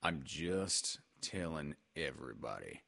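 Man voicing Eeyore, a very low, gloomy donkey. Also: big pitch swings, creaky, bright, forceful tone, heavily acted. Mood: sour, resigned. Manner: glum, slow, ponderous, resigned. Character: Eeyore, gloomy grumbling long-suffering donkey.

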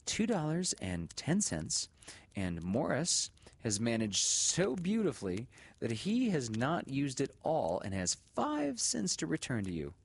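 Male reader voicing a gentle storybook schoolteacher lady, pitched high, soft and coaxing. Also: wildly swooping pitch, unhurried, creaky, husky, heavily acted. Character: kindly woman schoolteacher, gentle and earnest.

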